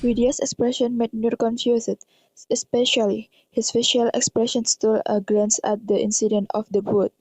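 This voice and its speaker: A woman's voice: fairly high pitch, little pitch movement, moderate pace, darkish tone, no echo, low-quality recording. A young woman with an Indonesian accent reading scripted horror drama narration in non-native English. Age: young adult.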